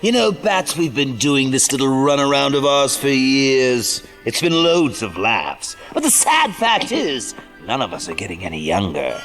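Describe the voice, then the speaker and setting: A gleefully unhinged clown villain for an audio drama. His pitch swoops wildly as he talks with sing-song, mock-genial showmanship, over a music score. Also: big pitch swings, measured pace, hardly any pauses, a bit bright. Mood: gloating mischief. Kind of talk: audio drama character acting.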